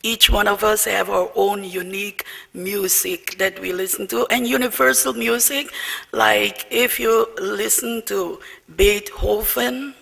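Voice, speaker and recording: A man with a Dutch accent, a diplomat giving a formal after-dinner speech and toast, measured and with asides to the audience. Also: sits high, steady tone, gravelly, pushed and bright, slightly rough. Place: large banquet hall. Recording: long hall reverb, faint music.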